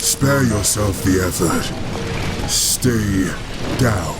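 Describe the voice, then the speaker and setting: A man's voice: fairly low pitch, lively intonation, very slow. A man playing a stern, imposing, commanding figure in a comic book dub. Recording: very loud music behind voice.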